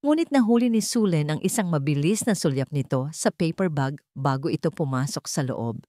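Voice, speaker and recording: A woman's voice: sits low, lively intonation, moderate pace, dark tone, no echo, studio quality. A woman storyteller reading a scripted romance story in a dramatic narrating style.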